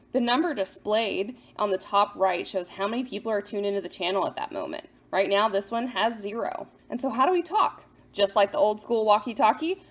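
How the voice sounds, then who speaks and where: A woman hosting a scripted explainer for parents, instructive, posing questions and then answering them. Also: medium pitch. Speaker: host of a parent guide to apps.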